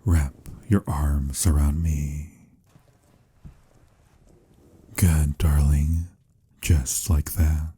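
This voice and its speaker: A man playing a tender, teasing, lovelorn vampire in a romantic ASMR roleplay, speaking intimately and soothingly in a husky, breathy voice that slips into whispers. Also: extremely low pitch, steady tone, slow, dark tone, full character voice. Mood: intimate, coaxing. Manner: intimate, soothing, slightly formal endearments.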